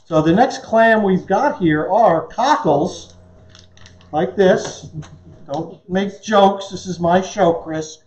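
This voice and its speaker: A man's voice: sits high, slow, darkish tone, highs cut off. Joking middle-aged man, echoey kitchen sound. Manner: joking, conversational. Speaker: chef and cooking show host. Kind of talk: casual live cooking demonstration. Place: home kitchen, indoors.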